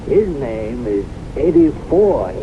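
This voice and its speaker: An actor playing an insistent, jovial middle-aged father in a blustery, animated radio drama manner. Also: mid-range voice, slow. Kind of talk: 1970s radio drama acting. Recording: muffled noisy old radio recording.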